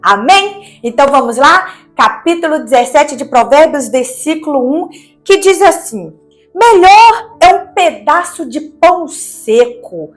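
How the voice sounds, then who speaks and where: A woman's voice: high voice, lively intonation, measured pace. A woman with a Brazilian accent from Minas Gerais gives a devotional Bible teaching, reading and explaining in a didactic, conversational way with rhetorical questions. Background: background music.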